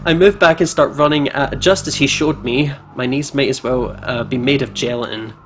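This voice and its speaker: Male gameplay host, mid-pitched, story narration with casual asides, sirens and vehicles behind. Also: steady tone, moderate pace, a bit bright. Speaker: host of visual novel playthrough. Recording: clear siren and traffic noise behind. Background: game ambience of sirens, vehicles.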